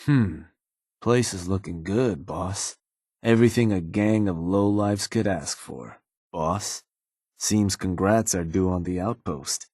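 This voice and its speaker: Low, husky, sardonic raider advisor. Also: male voice, slow pace, a little mellow, no room sound, studio quality, full character voice. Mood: dryly approving. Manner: laconic, folksy, matter-of-fact menace. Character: shrewd, sardonic male raider gang advisor, coolly ruthless. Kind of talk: video game character dialogue.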